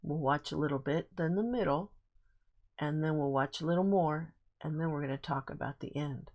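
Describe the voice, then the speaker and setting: A woman's voice: sits low, normal speed, muffled recording. A woman teaching a guided reading lesson to children, explaining things simply and repeating key points. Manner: simple explanations with much repetition.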